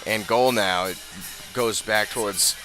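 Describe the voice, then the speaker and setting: An American man gives live radio play-by-play of a football game. His voice sits fairly low but is bright and forceful, and he describes the action in short running phrases. Light music plays behind him. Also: a little slow, poor audio. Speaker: play-by-play commentator.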